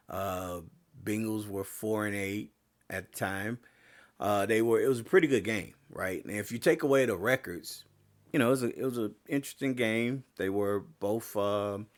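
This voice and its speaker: Guy doing a sports podcast, kinda hoarse, lots of uhs and restarts. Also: lowish voice. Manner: rambling, many fillers and restarts. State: voice getting hoarse. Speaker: podcast host. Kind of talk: casual sports talk.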